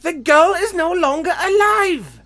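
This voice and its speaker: A man's voice: very high voice, unhurried, bright, forceful tone, voice pushed up, exaggerated voice. A man voicing a vain, evil storybook queen with a theatrical, demanding manner. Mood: furious disbelief.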